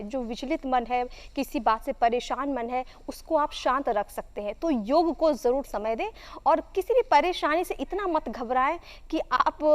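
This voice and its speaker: Female co-host, very high voice, encouraging advice-giving delivery for television. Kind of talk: presenting wellness advice.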